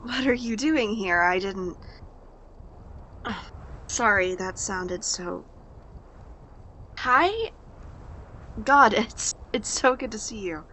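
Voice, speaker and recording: A woman's voice: medium pitch, animated, takes it slowly, pauses often, bright, forceful tone, exaggerated voice, rough recording. A woman playing a hurt, guarded young woman in an audio drama, flustered and pointedly sarcastic. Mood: surprised, flustered. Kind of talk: naturalistic audio drama acting.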